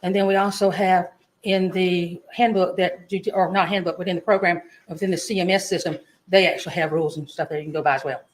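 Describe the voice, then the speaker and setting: A woman's voice: fairly low pitch, steady tone, fast talker, rough recording. A woman, testifying in court as a clerk, answering politely and matter-of-factly. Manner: polite, matter-of-fact, answers with yes sir.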